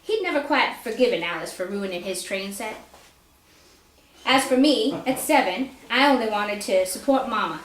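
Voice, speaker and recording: A woman reading a story aloud at a live indoor reading, narrating expressively and deliberately. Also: fairly high pitch, expressive. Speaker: author reading own fiction aloud. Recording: echoey room live reading.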